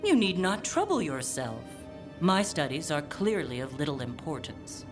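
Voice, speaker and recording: Game cutscene, aloof disapproving mother, lowish voice, formal measured phrasing, faint music behind. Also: big pitch swings. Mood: cold, disapproving. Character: stern, proud scholarly mother, aloof and disapproving. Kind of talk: video game cutscene acting.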